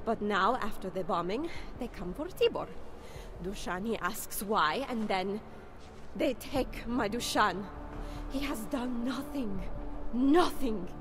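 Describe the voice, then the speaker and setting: An actress playing a distraught, suspicious wife whose husband was taken, speaking in a bitter, accusing way in a video game cutscene. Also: female voice, a little high, animated, takes it slowly, over music.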